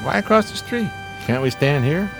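A man's voice: fairly high pitch, expressive, moderate pace, creaky. An actor playing a grandiose, dreamy homeless man with florid, theatrical speech in a radio play. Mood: curious. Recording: music playing behind the voice.

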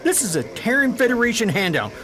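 An actor playing a blunt, hot-tempered military major on a space expedition in a radio drama. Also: male voice, extremely high pitch, sing-song, quick pace, crowd noise. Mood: gruff, irritated. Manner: blunt, commanding.

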